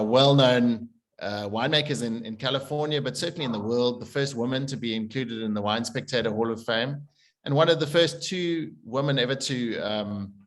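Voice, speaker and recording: A man in his forties from South Africa speaks over a laptop webcam on a video call. He is chatty and easygoing, with frequent fillers and restarts, his pitch sitting mid-range for a man. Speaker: winery founder. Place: room with a window onto a vineyard.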